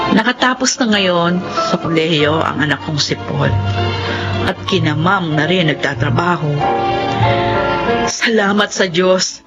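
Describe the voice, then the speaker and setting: A woman's voice: lowish voice, muffled recording, lightly acted. A woman playing an aggrieved, long-suffering mother in an emotional Filipino radio drama. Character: Ligaya, aggrieved long-suffering mother telling her life story.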